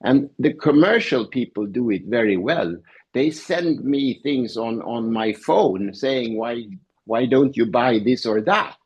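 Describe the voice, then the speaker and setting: Man, guest professor, husky voice, explanatory, uses personal examples. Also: mid-range voice, measured pace, darkish tone, highs cut off. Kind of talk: conversational interview.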